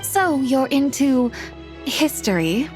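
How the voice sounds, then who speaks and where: A woman's voice: high-pitched, animated, takes it slowly, darkish tone, faint music behind. A woman voicing an ageless female immortal in a video game, formal, lofty and teasingly amused in manner. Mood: curious, lofty.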